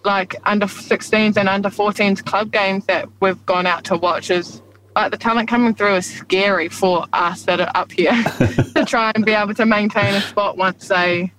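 A woman's voice: medium pitch, fairly even intonation, moderate pace, a bit bright, a little hiss or hum, low-quality recording. A young woman from New Zealand, a rugby league captain, chatting casually in an interview and laughing often. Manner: laid-back, chatty, laughs often, says "reckon" and "like".

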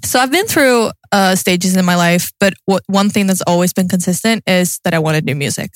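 A woman's voice: on the low side, brisk, no echo, studio quality. A young woman with a Norwegian accent, chatting as a podcast co-host in an informal, sweary, self-deprecating way. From Norway. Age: young adult. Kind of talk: casual podcast chat.

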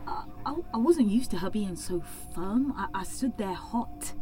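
A woman's voice: mid-range voice, measured pace, soft background music, natural voice. A woman playing an anxious, wry young woman in an audio drama monologue, speaking candidly and conversationally in a London British accent. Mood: reflective, uneasy. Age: young adult. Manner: candid, self-mocking, sweary, animated.

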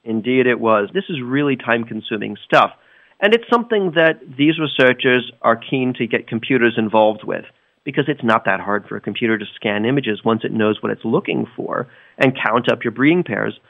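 A man explaining research as an interview guest, talking in an animated, conversational way and acting out the reasoning. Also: mid-range voice, moderate pace, creaky, dry recording. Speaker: science correspondent guest. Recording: narrow, slightly dull radio-studio sound.

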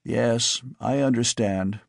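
Man doing a tired old dad, soft and brooding, dry sound. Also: mid-range voice, slow, vocal fry, no echo. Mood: somber. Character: weary, brooding older father.

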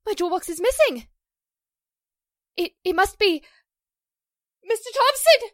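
A woman playing a famous, high-strung Broadway actress in a theatrical 1940s radio drama style. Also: animated, unhurried, no room sound, studio quality. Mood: panicked.

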